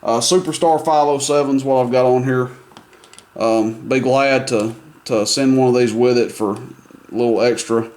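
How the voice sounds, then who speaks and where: A man's voice: mid-range voice, steady tone, normal speed, darkish tone. Easygoing man demoing a CB radio. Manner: easygoing, rambling with many fillers. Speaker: CB radio seller. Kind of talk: casual product demo.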